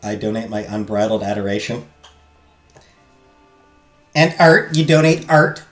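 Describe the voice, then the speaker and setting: A man streaming a visual novel, loudly reading the game text aloud and chatting with his viewers. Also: on the low side, lively intonation, unhurried. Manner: loud, talks loudly. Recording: roomy echo, faint game music.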